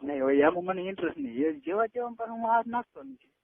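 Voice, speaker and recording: A young man with a Gujarati accent chats on a tinny phone line. His voice is high and creaky, and he keeps pressing and coaxing in an informal, private way. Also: big pitch swings, quick pace, no echo. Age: 20s. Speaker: caller. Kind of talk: casual private phone chat. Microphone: telephone line. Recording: tinny narrowband phone call recording.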